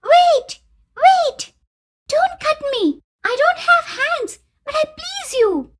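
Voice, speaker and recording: A tiny talking eggplant from an animated fable. The voice is extremely high, squeaky and childlike, with lively swings as it pleads and offers help. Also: female voice, slow, full character voice. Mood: pleading, urgent. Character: small talking magic eggplant, pleading and helpful. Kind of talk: animated moral story dialogue.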